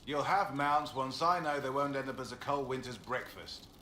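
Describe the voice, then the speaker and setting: An actor playing a gruff older horsemaster in a fantasy game, blunt, plain-spoken and folksy. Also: male voice, medium pitch, normal speed, bright, forceful tone, slight background noise, close to an everyday voice. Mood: gruff, skeptical. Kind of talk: video game dialogue acting. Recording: echoey, with music behind.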